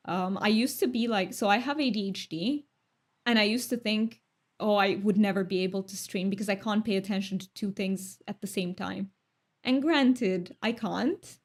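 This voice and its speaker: A young woman from Romania talks casually with her stream chat. Her speech is thoughtful and chatty, broken up by light laughs. Her voice sits at a middle pitch for a woman.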